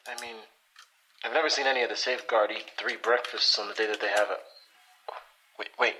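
A man's voice: lowish voice, steady tone, brisk, sharp tone, a little hiss or hum, low-quality recording. A man playing a nervous, doubting rookie superhero in an audio drama, stammering and hesitant in a casual way. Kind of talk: naturalistic audio drama acting.

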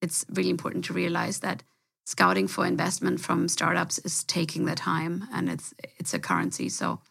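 A woman's voice: lowish voice, normal speed, creaky, a bit bright, slightly rough. A woman co-hosting a casual interview chat, giving earnest and emphatic advice about investing. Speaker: podcast co-host, venture fund co-founder.

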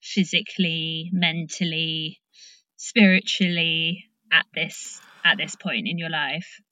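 A woman's voice: low voice, steady tone, takes it slowly, pushed and bright, no room sound, poor audio. A British woman hosting a casual interview, informal and encouraging, feeling her way through questions. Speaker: podcast host interviewing.

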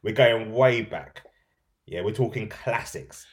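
A man's voice: fairly low pitch, slow. Chatty man, slangy podcast host. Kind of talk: casual banter.

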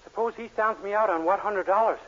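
An actor playing a naive, earnest young drugstore clerk with an innocent manner in a 1940s radio sitcom. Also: male voice, high-pitched, fast, close to an everyday voice. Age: young adult. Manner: innocent, guileless delivery. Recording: noisy, muffled, crackly 1940s radio recording.

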